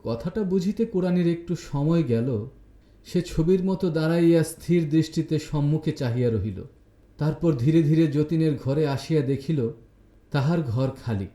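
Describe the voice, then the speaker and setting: A man reading a literary story aloud as narrator, in a measured, dramatic storytelling manner. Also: on the high side, dark tone, roomy sound.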